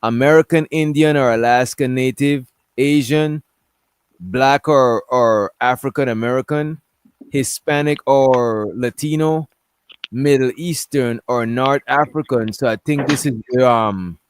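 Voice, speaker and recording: A man's voice: medium pitch, a little slow, clean tone, dry recording. A man hosting a casual discussion stream, talking loosely and rambling while he pulls up things on screen. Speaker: stream host.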